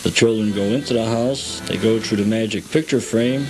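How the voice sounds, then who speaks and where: A man with a fairly low voice acts as a guest tour guide. He explains a story one step at a time in a plain, matter-of-fact manner. Music is clearly audible behind his voice. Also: moderate pace, rough recording.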